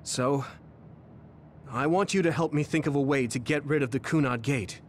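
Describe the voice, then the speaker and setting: A man playing a determined, burdened young soldier in a video game, speaking in an earnest, measured way. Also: mid-range voice, a little hiss or hum, natural voice. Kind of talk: video game cutscene acting. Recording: dramatic music behind the voice.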